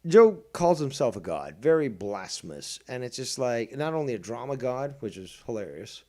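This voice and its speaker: A man's voice: medium pitch, very animated, moderate pace, warm tone. A man hosting a livestream, talking in a combative, mocking and profane way. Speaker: streamer host. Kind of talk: livestream commentary.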